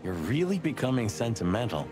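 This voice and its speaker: An actor playing a talkative, sardonic rooster cop sidekick in a film-noir adventure game. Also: male voice, medium pitch, very animated, a little fast, over music, lightly acted. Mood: teasing.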